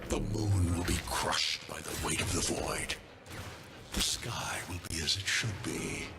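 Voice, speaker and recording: Slow, deep, menacing alien warlord. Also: male voice, over loud music. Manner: solemn, ominous, deliberate. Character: menacing male alien warlord, cold and vengeful. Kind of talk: dramatic video game acting.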